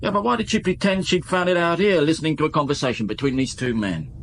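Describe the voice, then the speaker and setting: An actor playing a world-weary nightclub owner in a radio drama, casual, hesitant and confiding. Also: male voice, fairly high pitch, quick pace, a bit bright, slight background noise. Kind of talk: 1950s-set radio drama acting.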